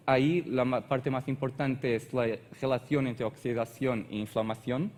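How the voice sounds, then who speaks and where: Portuguese-accented male presenter, halting non-native delivery, echoing hall sound. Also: medium pitch, a little mellow. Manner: halting, searches for words and restarts often. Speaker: conference speaker. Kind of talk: technical conference presentation.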